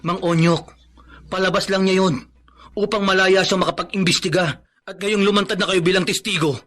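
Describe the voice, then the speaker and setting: A man's voice: high-pitched, fairly even intonation, a little fast, fairly bright tone. An actor with a Filipino accent playing an earnest young man, urgent and insistent, in a radio drama. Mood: urgent persuasion.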